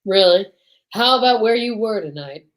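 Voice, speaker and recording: A woman's voice: medium pitch, animated, moderate pace, lightly acted. A woman playing a suspicious, needling male police detective in an old-time radio drama, dry and terse. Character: gruff, suspicious male police detective, fond but bossy.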